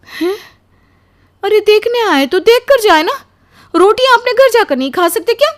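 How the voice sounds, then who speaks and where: A woman's voice: very high voice, lively intonation, normal speed, pitched above the performer's own voice. A woman playing an exhausted, aggrieved young newlywed bride in a melodramatic cartoon story, plaintive and complaining. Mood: exasperated.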